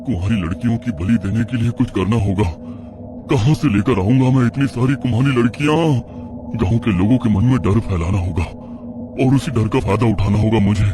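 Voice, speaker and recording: A man's voice: lowish voice, a little fast, noisy background, natural voice. A man playing an agitated, pleading village sorcerer and anxious father in a horror audio drama. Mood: worried scheming.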